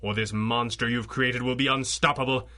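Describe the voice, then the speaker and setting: An actor playing a suave, commanding masked superhero in a 1930s-style radio drama, with crisp, formal, authoritative diction. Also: male voice, mid-range voice, steady tone, moderate pace, pushed and bright, lightly acted. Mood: stern, menacing.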